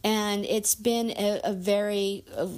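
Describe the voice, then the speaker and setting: A woman talks through her views in an earnest, candid personal reflection. She thinks out loud and often circles back to restart a phrase. Her pitch sits in an ordinary middle range for a woman. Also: steady tone, takes it slowly. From United States.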